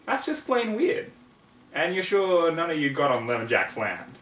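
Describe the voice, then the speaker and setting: A man's voice: fairly high pitch, wildly swooping pitch, normal speed, fairly bright tone, voice pushed up. A man voicing a blunt, folksy farm mare, a protective big sister, in a storybook-style reading. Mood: stern. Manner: folksy, blunt, drawling rural wording. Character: plainspoken, no-nonsense country mare, a protective older sister. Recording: roomy, distant mic, faint noise.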